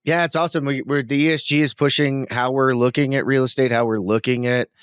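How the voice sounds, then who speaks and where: A loud, enthusiastic man co-hosting a podcast in casual chat. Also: medium pitch, fairly even intonation, fast talker, clear, resonant tone, no room sound, studio quality. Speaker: podcast co-host.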